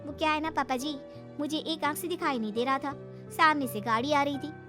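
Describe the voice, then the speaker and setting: A woman's voice: sits high, steady tone, a little fast, over music, close to an everyday voice. A woman voicing a haughty, spoiled young bride in a moral story, scolding and flippant. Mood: flippant excuse.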